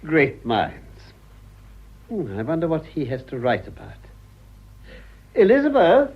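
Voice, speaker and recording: An actor playing an older upper-class gentleman, formal, grave and wry, in a radio drama. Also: fairly high pitch, wildly swooping pitch, unhurried, slightly rough, dull audio, heavily acted. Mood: brisk, wry.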